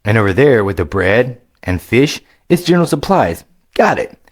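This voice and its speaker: A man voicing a cheerful, eager young male gamer hero in a storybook reading, with quick excited exclamations. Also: mid-range voice, voice pushed up.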